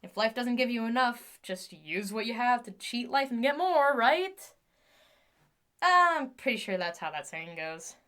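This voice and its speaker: A woman playing an excitable, scheming inventor in an audio drama, theatrically talking to herself as her moods swing. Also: a little high, unhurried. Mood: chatty, exasperated.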